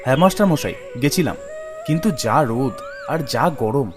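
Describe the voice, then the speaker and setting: A man's voice: medium pitch, expressive, fairly quick, close to an everyday voice. A man voicing a poor, earnest village farmer, a humble father, in a cartoon. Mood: respectful. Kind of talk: cartoon character acting. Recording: music behind the voice.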